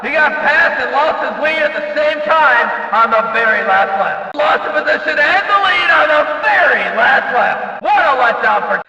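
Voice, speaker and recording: A man voicing an excitable race announcer in a video game, calling the action with emphatic, dramatic, shouted commentary in an echoey, distant recording. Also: extremely high pitch, exaggerated voice. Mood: dismayed, mocking. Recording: echoey hall, distant from the mic.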